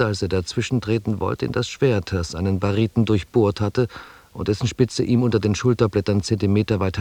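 An actor playing a sober expedition member who narrates a voyage in measured, literary German radio drama style. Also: male voice, lowish voice, darkish tone, dry recording. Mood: grave, matter-of-fact. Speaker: first-person narrator.

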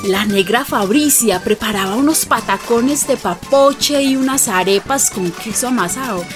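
A woman's voice: on the high side, normal speed, close to an everyday voice. An actress playing a neighbourhood woman with a Colombian accent, narrating memories of her friend in a radio drama. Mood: reminiscing. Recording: music behind the narration.

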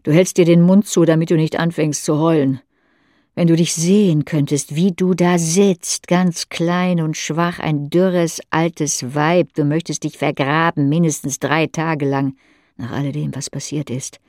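A woman's voice: low-pitched, fairly even intonation, a little slow, a little mellow, no room sound, clean studio sound. A woman reading scripted literary narration in the second person for an audio drama.

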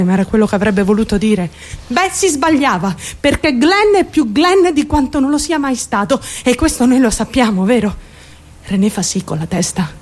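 An unstable, delusional young woman in a radio play monologue. Her voice sits fairly high. She confides bluntly, defiant and obsessive, swearing and turning abruptly as she presses the listener to agree. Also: a little mellow. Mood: confiding, bitter, then defiant, irritated. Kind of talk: theatrical radio monologue in present tense.

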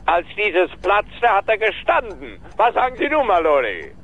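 A man's voice: high-pitched, huge pitch swings, normal speed, full character voice. An actor playing a smug, needling male caller in a radio play, gloating emphatically through a telephone filter. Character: smug, needling male caller, crowing over a solved case. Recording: thin narrow-band phone line.

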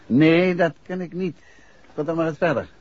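An actor playing a calm, probing amateur detective in a 1960s radio drama, questioning briskly and with control. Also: male voice, on the high side, lightly acted. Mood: probing, firm. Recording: muffled, noisy 1960s radio recording.

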